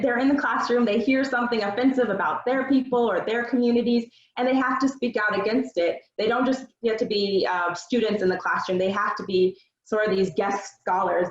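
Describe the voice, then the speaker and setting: A young adult woman giving an earnest, measured seminar presentation with frequent filler words. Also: mid-range voice. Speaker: research presenter. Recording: roomy, dull lo-fi sound.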